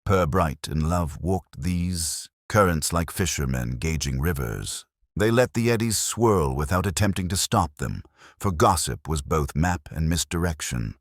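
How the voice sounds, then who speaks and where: A man narrating a scripted mystery story in a measured, literary way, with a husky voice. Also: low-pitched, expressive, no echo, clean studio sound.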